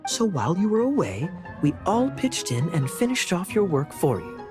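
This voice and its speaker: A smooth, teasing knight. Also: male voice, high-pitched, wildly swooping pitch, normal speed, over music. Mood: playful. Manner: easygoing, playful. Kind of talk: video game voice acting.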